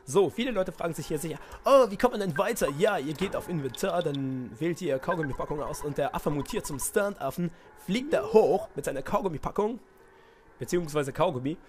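A man with a cold doing goofy, excitable let's-play commentary and reading game dialogue aloud. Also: a little high, fairly quick, noisy background. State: has a cold. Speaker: let's player. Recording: music playing behind voice.

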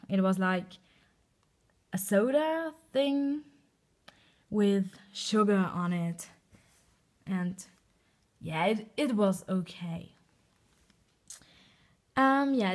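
Young Austrian woman, slow and giggly. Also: fairly high pitch, a little mellow. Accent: German-speaking Austrian. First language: German. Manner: slow, hesitant, frequent ums and giggles. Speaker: vlogger. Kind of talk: casual haul video. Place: bedroom at night, indoors.

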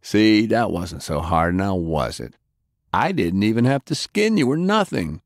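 Man reading tough-guy interrogator, rough drawl, slow menace, lively delivery, dry studio sound. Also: fairly low pitch, dry recording. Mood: taunting. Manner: slow, threatening, sardonic.

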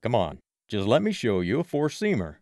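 A man voicing a pushy, fanatical ex-ballplayer in his thirties, gruff and blunt, for an audiobook. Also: medium pitch, wildly swooping pitch, normal speed, a little mellow, dry recording, clean studio sound. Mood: pushy, scolding. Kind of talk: audiobook character voice.

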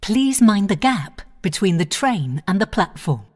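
Woman, onboard train announcer; slow, even delivery with a lively rise and fall, station-by-station phrasing. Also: medium pitch. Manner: measured, clipped station-by-station phrasing.

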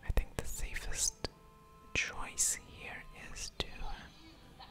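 A woman whispering ASMR game commentary in a breathy voice with soft mouth sounds, musing aloud over each move. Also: slow pace, music in the background. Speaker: game streamer, ASMR creator.

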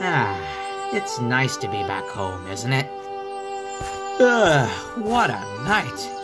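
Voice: medium pitch, lively intonation, unhurried, over music, lightly acted. A performer voicing an earnest, friendly cartoon bird leader who gets easily exasperated, in a comic dub. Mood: relieved, weary.

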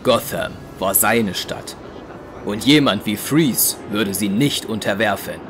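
A male narrator tells an action story in an audio drama. His voice sits fairly low, and music plays clearly behind him. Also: takes it slowly. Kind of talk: scripted audio drama narration in past tense.

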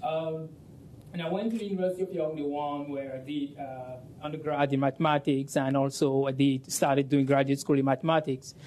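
Young guy from cameroon, french native, tells his story earnestly, slightly high voice, lapel mic. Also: little pitch movement, brisk, a little mellow, a little hiss or hum, poor audio. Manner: earnest storytelling, laughs easily. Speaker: panelist. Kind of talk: panel discussion answer. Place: stage of a conference room.

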